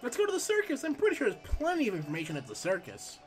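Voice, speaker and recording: A man hosting a let's play, reading game text aloud and chatting casually while his voice is going hoarse. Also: very high-pitched, very fast, music in the background. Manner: reads on-screen text aloud, relaxed asides. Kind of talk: casual gameplay commentary.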